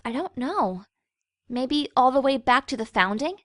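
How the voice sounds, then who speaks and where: A woman voicing a sensible, wry young female pony in a cartoon fan fiction, gently scolding and matter-of-fact. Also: high-pitched, lively intonation, measured pace, no echo. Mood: curious.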